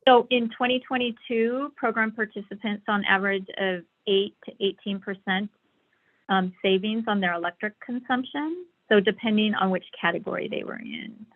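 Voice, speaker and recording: A woman's voice: medium pitch, moderate pace. A woman giving a slide presentation on a conference call over a thin, tinny phone line. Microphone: phone or online call.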